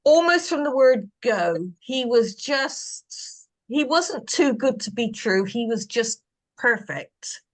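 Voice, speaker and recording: A woman's voice: a little high, animated, takes it slowly. A woman author chatting with readers on a video call, rambling and self-deprecating. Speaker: author answering reader questions. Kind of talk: casual video call chat.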